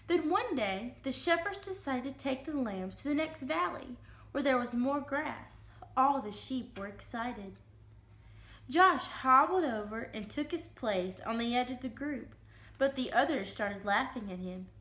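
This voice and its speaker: A woman giving an expressive dramatic reading of a children's storybook. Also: high-pitched, unhurried. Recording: roomy, echoing room sound.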